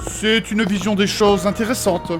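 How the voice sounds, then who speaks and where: A man's voice: high voice, steady tone, normal speed, over music, close to an everyday voice. A man playing a proper, pious and learned adventurer in a comedic fantasy audio drama, formal, polite and easily shocked. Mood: explanatory.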